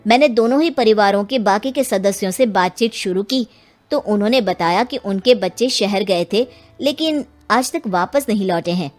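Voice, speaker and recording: A woman's voice: mid-range voice, normal speed, soft background music, natural voice. A woman voicing an earnest, respectful male police inspector in an acted story. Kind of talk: acted Hindi audio story dialogue.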